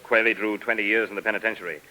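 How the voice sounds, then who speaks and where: A man's voice: fairly low pitch, steady tone, rapid-fire, pushed and bright. An actor playing an indignant, self-important wealthy businessman, brusque and officious, in 1950s radio drama style. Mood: brusque, impatient. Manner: blustering, stammering when flustered, officious. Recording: noisy old radio recording.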